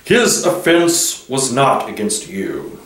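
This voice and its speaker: A majestic lion king from a storybook , as a man reads him, speaking with calm authority. Each phrase is grave and measured, heard in a roomy space a little off the mic. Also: medium pitch, distant mic.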